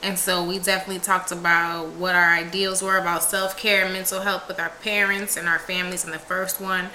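A woman's voice: fairly low pitch, flat delivery, brisk, pushed and bright, reverberant, a little hiss or hum, poor audio. A woman in her twenties from South Carolina, hosting a podcast in a casual, upbeat, encouraging way. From South Carolina, USA. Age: 20s. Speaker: podcast host.

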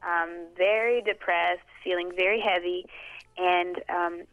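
A woman's voice: lowish voice, fairly even intonation, dry recording. Thoughtful young woman with dull, dry sound. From Boston. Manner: thoughtful, measured, hesitant with frequent "um". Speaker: guest poet. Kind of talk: interview conversation.

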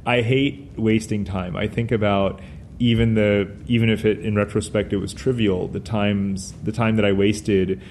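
Middle-aged guest, Hindi native speaking English, lowish voice, rambling and reflective, faint hiss. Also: male voice, little pitch movement, moderate pace, a little mellow. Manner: discursive, frequent "you know" fillers. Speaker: guest author. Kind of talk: conversational interview answers.

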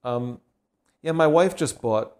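A man's voice: mid-range voice, animated, a little mellow. Man, interview host, easygoing, affirming, casual. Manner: easygoing, quick affirmations, laughs along. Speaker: podcast host.

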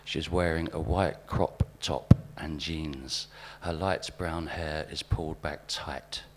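Very deep-voiced Englishman reading deadpan vignettes. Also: slow pace. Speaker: spoken-word performer. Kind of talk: live reading of overheard vignettes. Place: live literary event in a venue.

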